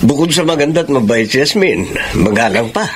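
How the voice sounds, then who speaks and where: A man acting a kindly middle-aged provincial father, gently admonishing, in a Filipino radio drama. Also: medium pitch, expressive, brisk, slight background noise, natural voice. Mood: warm, approving.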